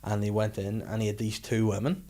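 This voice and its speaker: A man's voice: fairly low pitch, level tone, brisk, dark tone. A man in his late thirties, a podcast guest telling crude, playful stories. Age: 30s. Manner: playful, crude storytelling with put-on quotes. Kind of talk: casual chat.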